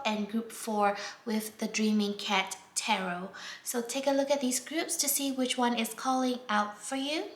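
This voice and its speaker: A woman from Singapore, doing a casual tarot reading in a gentle, unhurried way with many fillers, dropping to a near whisper as she draws cards. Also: fairly high pitch. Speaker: tarot reader. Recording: echoey room, faint background noise.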